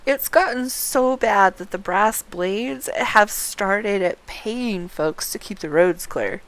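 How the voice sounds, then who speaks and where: A woman reading aloud the dialogue of a friendly, chatty quest giver in a fantasy game, deliberately paced. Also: mid-range voice, natural voice, low-quality recording. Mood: earnest, persuasive.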